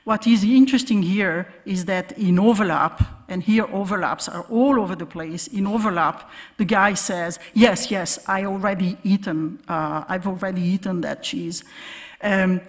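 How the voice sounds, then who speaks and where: A woman giving an academic keynote lecture from a podium, explaining in a halting way with repeated words and hesitations. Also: mid-range voice. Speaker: keynote speaker, academic researcher. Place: large conference hall stage, at podium. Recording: reverberant lecture hall sound.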